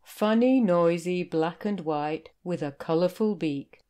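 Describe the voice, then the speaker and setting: A British woman voicing a kind, helpful big whale in a picture book, speaking slowly and expressively. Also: on the low side. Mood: confident. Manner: slow, deliberate, expressive.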